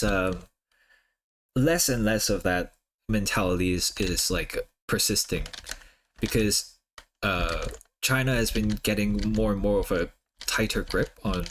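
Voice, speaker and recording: Easygoing man, lowish voice. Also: a little hiss or hum. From Hong Kong. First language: Cantonese. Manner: rambling, lots of "like" and "uh" fillers. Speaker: streamer. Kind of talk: casual chat while drawing on stream.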